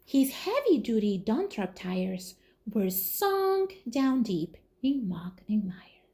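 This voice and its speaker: Woman, storybook read-aloud, toddler teacher, lively varied pitch, very slow pace, expressive.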